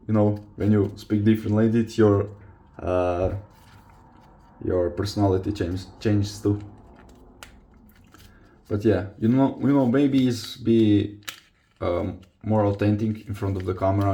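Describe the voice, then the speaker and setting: Low, creaky young man with Croatian accent. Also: fairly even intonation, a little slow, dark tone. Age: young adult. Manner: hesitant, searching for English words. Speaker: vlogger, football player. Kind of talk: casual confessional chat to camera.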